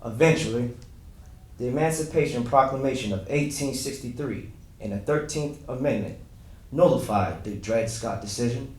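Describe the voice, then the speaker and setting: A man playing a dignified, wronged, formerly enslaved man, reciting a historical monologue in a deliberate way. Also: mid-range voice, a little mellow, natural voice. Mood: solemn, firm. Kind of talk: first-person historical monologue, staged dramatic reading. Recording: echoey room, distant from mic.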